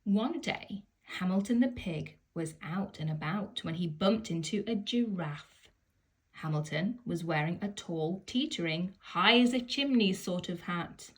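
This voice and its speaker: A woman telling a children's story aloud in an expressive storybook style. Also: fairly low pitch, a little slow. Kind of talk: expressive children's picture book read-aloud.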